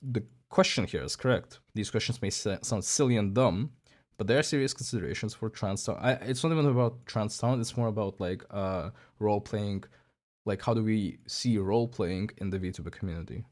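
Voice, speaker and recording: Man, lowish voice, casual stream commentary, halting, rambling, restarts often. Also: a little mellow.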